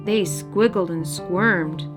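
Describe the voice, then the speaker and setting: A woman narrating a children's storybook as the storyteller, in an expressive scripted read-aloud style. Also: fairly low pitch, slow pace. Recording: music bed behind narration.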